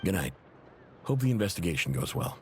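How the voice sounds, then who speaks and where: Expressive, easygoing male game mechanic. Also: sits low, a little fast, slight background noise. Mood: friendly. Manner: casual, expressive, conversational. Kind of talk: video game voice acting.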